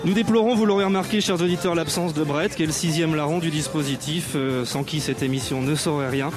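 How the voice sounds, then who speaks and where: A man's voice: a little high, fairly quick, music in the background. A man hosting a live radio show on an outdoor stage, introducing guests in a formal, playfully grand way with frequent hesitations. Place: outdoor stage at an arts venue with audience.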